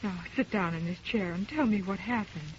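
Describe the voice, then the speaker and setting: An actress playing an anxious, worried wife with urgent, melodramatic delivery in a 1930s radio drama. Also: female voice, medium pitch, fairly quick, lightly acted. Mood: anxious, pleading. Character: anxious, worried wife pressing her husband. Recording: muffled, noisy 1930s radio recording.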